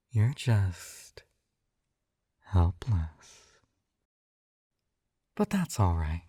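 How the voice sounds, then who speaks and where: A man's voice: fairly low pitch, mellow, no echo, studio quality, exaggerated voice. A man playing a possessive, doting hypnotist captor in an intimate ASMR roleplay, coaxing and soothing, often slipping into a whisper. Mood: gently possessive. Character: possessive, doting male yandere hypnotist captor, gently controlling.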